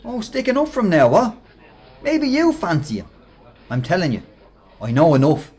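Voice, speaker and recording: An actor playing a bullying, mocking Irish workmate with a Dublin accent and a rough voice in a radio play. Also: male voice, high voice, huge pitch swings, moderate pace, a little mellow, soft background music. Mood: sneering, insinuating. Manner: mocking, insinuating, aggressive. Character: bullying, sneering male workmate, quick to threaten.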